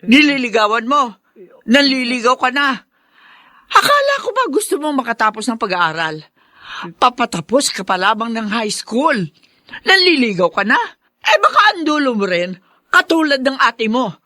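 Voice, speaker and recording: A woman's voice: fairly high pitch, big pitch swings, moderate pace, bright, forceful tone, no echo, clean studio sound. An actress playing a suspicious, nagging middle-aged mother in a Filipino radio drama, with a Filipino accent. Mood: scolding, suspicious. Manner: nagging, accusing. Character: scolding, suspicious mother of a teenage boy.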